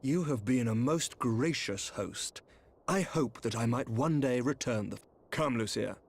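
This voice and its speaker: An actor playing a dignified, wary, courtly knight commander in a fantasy video game. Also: male voice, mid-range voice, unhurried. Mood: grave, courtly. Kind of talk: dramatic video game acting.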